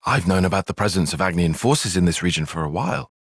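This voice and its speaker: An earnest young strategist for a video game cutscene. His phrasing is formal and exact, with animated pitch swings and a slightly husky edge. The recording is very dry, with no room around it. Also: fast talker, no room sound, exaggerated voice. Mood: composed, analytical. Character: analytical, reserved young male military strategist, earnest and proper.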